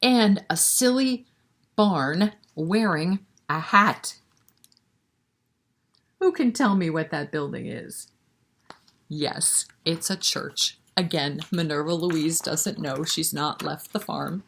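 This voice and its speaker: A woman with a slightly low voice leads a story time for young children. She takes her time, reading expressively and pausing to put questions to the listeners and encourage them. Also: gravelly, a bit bright. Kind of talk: animated picture-book read-aloud with asides to children.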